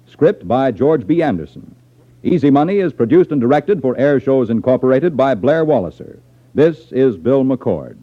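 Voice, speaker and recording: A man's voice: medium pitch, sing-song, moderate pace. Muffled 1950s American male radio announcer.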